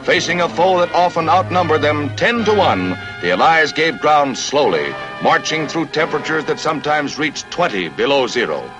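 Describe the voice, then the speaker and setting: A man's voice: fairly high pitch, lively intonation, normal speed, hardly any pauses, low-quality recording. An American man narrating a scripted 1950 newsreel in a dramatic, grave, declamatory manner. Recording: vintage 1950 newsreel soundtrack with music.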